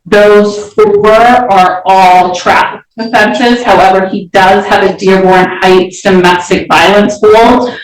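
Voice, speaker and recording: A woman's voice: mid-range voice, fairly even intonation, slow pace, hardly any pauses. A woman prosecutor making a formal, methodical argument to a judge in a courtroom. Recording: very echoey courtroom, distant mic.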